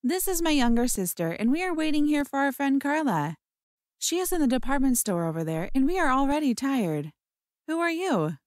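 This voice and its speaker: A woman voicing a cheerful young woman in a scripted learner dialogue, spoken brightly and clearly. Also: high voice, big pitch swings, normal speed, no room sound, clean studio sound.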